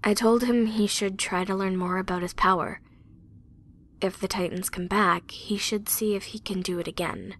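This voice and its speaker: A woman playing an earnest, brooding young space cadet with a husky, breathy voice in an anime-style drama. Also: medium pitch, fairly even intonation, moderate pace, a bit bright. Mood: sombre, earnest. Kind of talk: sci-fi anime parody audio drama acting.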